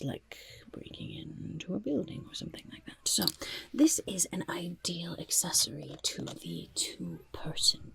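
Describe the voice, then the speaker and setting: A woman voicing a scheming, chatty goblin market trader in a breathy, raspy whisper, as an ASMR roleplay with sly, coaxing sales patter. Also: full character voice. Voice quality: breathy, raspy whisper with creaky low murmurs.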